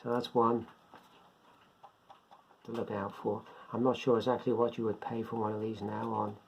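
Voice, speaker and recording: An older man giving a casual, unscripted product demonstration, talking haltingly as he thinks aloud. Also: fairly low pitch, flat delivery, creaky. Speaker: presenter of a beginners' radio hobby video. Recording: dull lo-fi sound, faint background noise.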